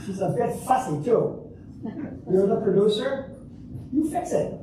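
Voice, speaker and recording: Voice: medium pitch, lively intonation, unhurried, lightly acted. An amateur performer in a stage play reading, playing an exasperated, impatient theater director. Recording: echoey hall from far off, noisy.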